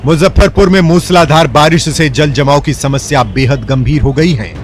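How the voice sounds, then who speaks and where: A man's voice: on the high side, moderate pace. Formal male news narrator over music.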